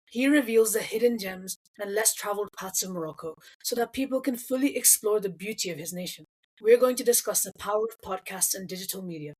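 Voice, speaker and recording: Brief: breathy mid-pitched woman host, measured scripted questions. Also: rough recording. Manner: measured, reads prepared questions. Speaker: podcast host.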